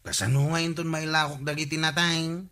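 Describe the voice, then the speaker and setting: A man's voice: fairly high pitch, little pitch movement, fairly quick, bright, forceful tone. A man with an Ilocano accent playing an eager, impatient young nephew and sidekick in a radio comedy drama, talking insistently. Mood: impatient, bargaining.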